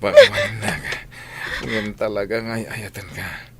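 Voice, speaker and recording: Pleading young Ilocano master. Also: male voice, on the low side, wildly swooping pitch, normal speed, heavy background noise. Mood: pleading, remorseful. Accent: Filipino, Ilocano. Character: young master of the house, pleading, lovestruck, lustful. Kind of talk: Ilocano radio drama acting.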